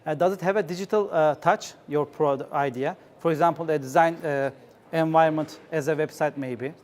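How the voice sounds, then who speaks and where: A man's voice: a little high, brisk. A man from Turkey hosting a workshop, asking founders short questions and encouraging them. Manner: encouraging, brief acknowledgements. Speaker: workshop host interviewing founders. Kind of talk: casual interview questions.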